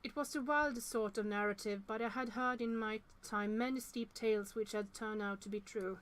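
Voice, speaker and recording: A woman's voice: a little high, fairly even intonation, normal speed. A woman reading visual novel text aloud with casual commentary, reacting aloud and stumbling now and then over words.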